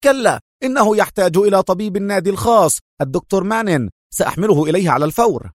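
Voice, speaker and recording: A man voicing a secret agent who poses as an alarmed gentleman in an audio story, loud and hurried. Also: high-pitched, expressive, dry recording, studio quality, pitched above the performer's own voice. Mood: firm, urgent.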